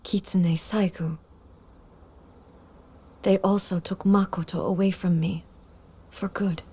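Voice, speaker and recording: A woman playing a solemn, grieving immortal ruler in a video game, speaking formally and softly with measured composure. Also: medium pitch, steady tone, a little hiss or hum. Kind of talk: dramatic video game voice acting.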